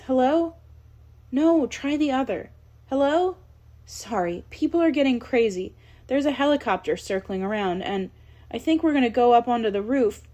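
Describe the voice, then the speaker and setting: A woman's voice: high-pitched, darkish tone, voice pushed up. A woman voicing a panicked father leaving an urgent phone message while trapped, calling out and pleading over and over. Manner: urgent, pleading, breaking off into repeated calls.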